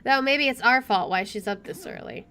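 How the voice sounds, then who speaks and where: A woman's voice: high voice, normal speed, bright, forceful tone, slight background noise. A woman let's player reading a visual novel aloud expressively with chatty asides, game music playing behind her.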